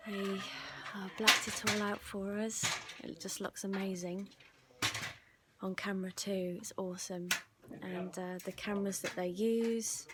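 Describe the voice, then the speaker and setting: Soft-spoken woman, often near a whisper. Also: mid-range voice, level tone, unhurried, very noisy. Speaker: ASMR vlogger and host. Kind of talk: casual behind-the-scenes vlog narration.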